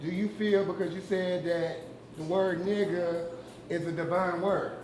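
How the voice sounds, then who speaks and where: Loud man in an echoey room. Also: high-pitched, steady tone, a little slow, a little hiss or hum. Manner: loud, speaks without a mic. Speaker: audience member asking a question. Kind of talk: open discussion at a community event.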